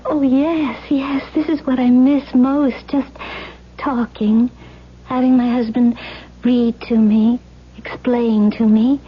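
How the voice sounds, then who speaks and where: Slow, seductive radio temptress. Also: female voice, fairly high pitch, fairly even intonation, husky. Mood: coy, wistful. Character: seductive temptress Lilith, coaxing and theatrically yearning. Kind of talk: old-time radio drama acting. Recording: muffled, hissy old radio drama recording.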